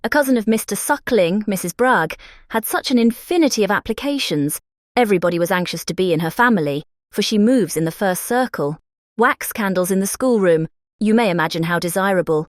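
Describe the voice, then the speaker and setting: A woman playing a pushy, self-important married gentlewoman in an audiobook drama, with a British accent and a gushing, patronising manner. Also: medium pitch, expressive, brisk, no room sound, studio quality, natural voice. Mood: officious, boastful. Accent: British English. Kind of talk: dramatized classic novel audiobook.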